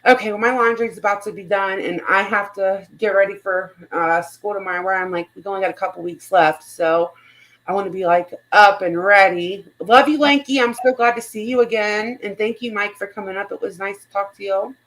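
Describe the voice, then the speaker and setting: A woman's voice: mid-range voice, fairly even intonation, a little fast, a bit bright, rough recording. A woman hosting a casual livestream chat, speaking in a blunt, outspoken way and swearing freely. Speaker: livestream host.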